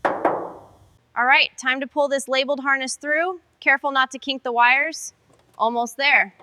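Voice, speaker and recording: A woman's voice: sits high, steady tone, a little slow, sharp tone, no echo. A woman speaking as a car restoration mechanic, narrating tasks in short phrases as she works. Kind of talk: short task narration while working.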